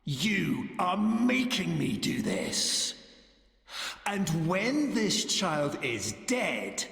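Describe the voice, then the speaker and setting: An actor playing a cruel, possessive and grandiose villain in a video game, speaking theatrically and with menace, like a wounded lover. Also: male voice, sits high, huge pitch swings, extremely slow, gravelly, exaggerated voice. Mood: angry, imperious. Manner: theatrical, menacing, swinging from hushed to bellowing. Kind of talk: dramatic video game voice acting. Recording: echoing hall-like reverb.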